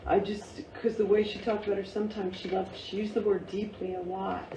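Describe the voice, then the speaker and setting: Woman, mellow voice, reflective, emphatic, musing in questions, informal discussion, distant mic. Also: medium pitch, level tone, fairly quick. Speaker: audience member giving play feedback, teacher. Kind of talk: informal post-reading discussion. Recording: muffled, noisy, echoey room from far off.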